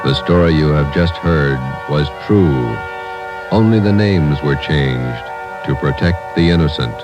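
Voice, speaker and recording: A man's voice: a bass voice, expressive, unhurried, music in the background. A man reading solemn formal announcements in 1940s radio style. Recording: dull old radio recording.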